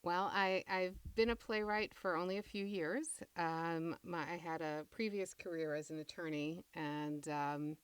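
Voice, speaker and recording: A middle-aged woman being interviewed as a playwright, talking reflectively with measured, deliberate phrasing. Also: fairly low pitch, fairly even intonation, smooth, no room sound.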